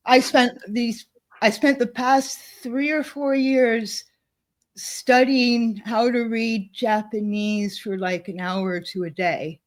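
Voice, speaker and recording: A woman co-hosting a casual chat, thinking aloud as she clicks through software at her desk, with frequent laughs. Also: fairly high pitch, fairly even intonation, slow pace. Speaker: co-host demonstrating software. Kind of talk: casual screen-share chat.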